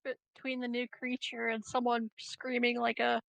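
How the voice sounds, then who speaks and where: A woman's voice: a little high, monotone, moderate pace, gravelly, fairly bright tone, no room sound, rough recording. A woman chatting casually as a player in a tabletop roleplaying game.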